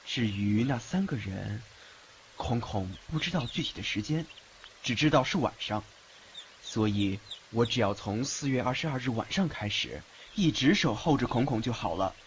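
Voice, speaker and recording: A man playing a devoted, trusting young godson in a fan audio drama, expressive and reassuring. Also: medium pitch, moderate pace, close to an everyday voice. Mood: earnest. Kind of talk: Mandarin fan radio drama acting. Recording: muffled, faint background noise, rain-like ambience.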